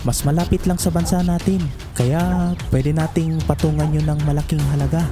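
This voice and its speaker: Guy doing a breezy cocky filipino shady pal in a radio-style drama, voice a bit high, music under it. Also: fairly even intonation, normal speed, close to an everyday voice. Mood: coaxing. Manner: coaxing, cocky. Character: sly, breezy drug-dealing buddy. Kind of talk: Filipino audio drama acting.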